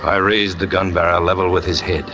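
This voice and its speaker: A man's voice: low voice, little pitch movement, brisk, dull audio, background noise, lightly acted. An actor playing a jealous, tormented young circus catcher who narrates his own story in a 1940s radio drama. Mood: brooding. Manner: brooding first-person confession, rising to anguish. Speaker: narrator and lead character.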